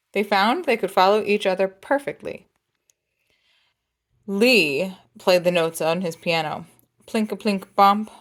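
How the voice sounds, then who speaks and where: A woman reading a picture book aloud as a storyteller, with playful, dramatic phrasing and jazzy sound effects. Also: medium pitch.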